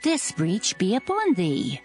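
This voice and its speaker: A man's voice: wildly swooping pitch, faint music behind, exaggerated voice. A man doing an astonished old midwife. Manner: slow, high put-on voice.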